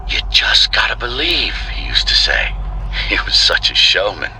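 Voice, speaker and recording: A man playing a solemn, brooding, self-assured studio owner in a video game audio log, measured and theatrical. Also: fairly low pitch, sing-song, sharp tone, slight background noise, exaggerated voice, poor audio. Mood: proud, wistful.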